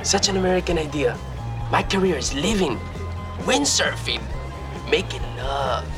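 An actor playing a carefree, joyful masseur with a Spanish-speaking accent, serene and earnest, in a sitcom. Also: male voice, on the high side, lively intonation, normal speed, over music, natural voice. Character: carefree, joyful foreign masseur, a seductive drifter. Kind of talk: sitcom acting.

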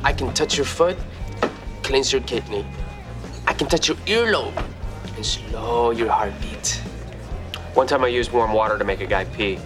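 An actor as a blissful Spanish-accented drifter. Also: male voice, mid-range voice, measured pace, long pauses, music in the background, lightly acted. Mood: serene, earnest. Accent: Spanish-speaking. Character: carefree, joyful foreign masseur, a seductive drifter. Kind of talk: sitcom acting.

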